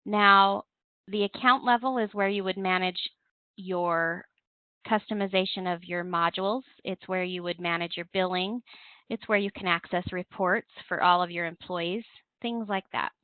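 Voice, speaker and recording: A woman's voice: lowish voice, steady tone, moderate pace, clean tone, no room sound. Woman presenting over a thin phone line. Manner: explanatory, step by step. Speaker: presenter, compliance software trainer. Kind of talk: instructional webinar walkthrough, semi-scripted. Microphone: telephone conference call.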